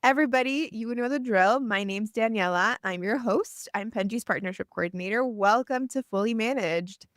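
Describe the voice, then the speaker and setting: A woman's voice: a little high, normal speed, hardly any pauses, dry recording, clean studio sound. A young woman from El Salvador hosting a podcast, upbeat and chatty, saying "like" often. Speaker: podcast host. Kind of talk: casual interview chat.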